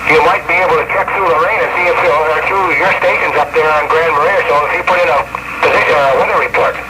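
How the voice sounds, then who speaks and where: A man speaking as a ship's captain over VHF radio, giving informal reports in a hesitant, halting, plain-spoken way. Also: a little high. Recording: very noisy VHF radio transmission, archival.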